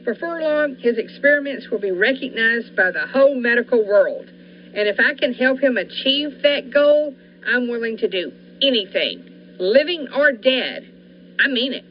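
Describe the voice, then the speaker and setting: A woman's voice: fairly high pitch, expressive, normal speed, lightly acted. A young woman playing a scientist's devoted, fervent wife in a 1940s-style radio thriller, earnest and persuasive. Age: young adult. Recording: dull lo-fi recording with faint noise.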